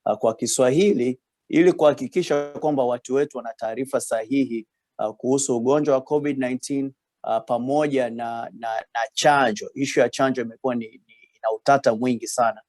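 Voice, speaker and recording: A man's voice: mid-range voice, fast talker, a little mellow, no echo. A man, a radiologist, speaking over a video call in a hesitant manner, full of fillers and switching between Swahili and English. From Tanzania.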